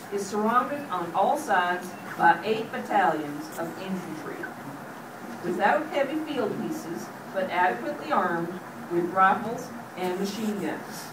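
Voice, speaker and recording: A woman's voice: medium pitch, close to an everyday voice. A woman playing an army captain reporting from the front in a live radio play. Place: hotel meeting room, panel table. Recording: distant roomy noisy hand-held camera recording.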